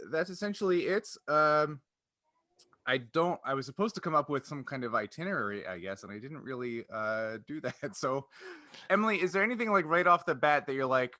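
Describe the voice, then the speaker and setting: A man with a bright, forward voice chats casually as a podcast host and game master. He rambles through explanations, often breaking into his own sentences. The sound is close and dry. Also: fairly high pitch, no echo. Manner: rambling, self-interrupting explanations.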